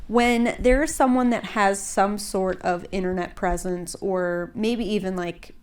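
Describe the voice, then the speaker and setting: A young woman hosts a podcast in loose, casual chat, her voice a little stuffed up and congested. She rambles along with plenty of fillers and "like"s, at a middle pitch. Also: fairly even intonation, a little slow. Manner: chatty, rambling with many fillers and likes. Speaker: podcast host.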